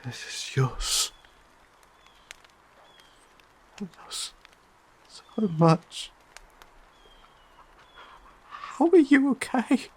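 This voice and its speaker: A performer plays a rattled, emotional companion in a fantasy audio drama. The voice is breathy and husky, swinging widely in pitch. The words come slowly and haltingly, with stammers and stretches of whispering. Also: huge pitch swings, exaggerated voice. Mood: stunned, fearful. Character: shaken, tearful fantasy companion, stammering and awestruck. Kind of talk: dramatic audio roleplay addressed to listener.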